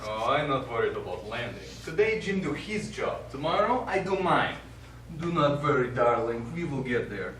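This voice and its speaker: An actor playing a cool, cocky Russian cosmonaut with a Russian accent in a stage play. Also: male voice, medium pitch, very animated, normal speed, fairly bright tone, slight background noise. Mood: calm, assured. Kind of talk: stage acting. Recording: echoey stage recording from far off.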